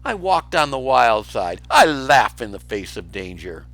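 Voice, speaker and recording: A man's voice: medium pitch, very animated, a little slow, a bit bright, voice pushed up. A man voicing a boastful, eager young male lion cub prince in a children's storybook reading. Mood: boastful excitement. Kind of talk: children's storybook read aloud.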